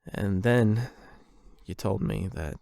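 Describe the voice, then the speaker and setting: A man's voice: lowish voice, slow pace, creaky, a little mellow, no room sound, studio quality. A man acting a shy, flustered young man confessing love to an online friend in a hesitant, rambling ASMR boyfriend roleplay. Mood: sheepish, earnest. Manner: hesitant, rambling, nervous chuckles.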